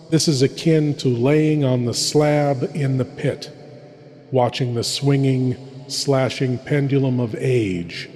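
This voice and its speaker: Male horror host, mid-pitched, unhurried and ominous, theatrical pauses, intimate scripted delivery in cavernous reverb. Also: a little mellow.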